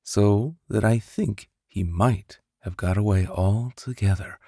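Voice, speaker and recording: A man reads a bedtime storybook in a low voice with a gravelly edge. He takes it very slowly and plays up the drama as an expressive narrator. The recording is dry and close, made on a studio condenser mic. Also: no room sound. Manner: theatrical, with whispers and sound-effect voices. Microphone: close studio condenser microphone.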